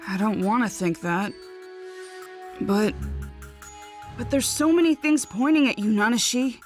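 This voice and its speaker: A woman's voice: fairly high pitch, lively intonation, a little slow, a little mellow. Young ninja boy, earnest, urgent, serious, anime game dub, music behind. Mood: troubled. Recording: background music.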